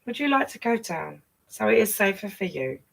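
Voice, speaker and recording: A woman's voice: medium pitch, sing-song, moderate pace, creaky, fairly bright tone, husky, far from the mic, low-quality recording. A woman reading a picture book to young children, playfully breaking off for chatty asides and questions to the listeners. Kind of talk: read-aloud story time with chatty asides.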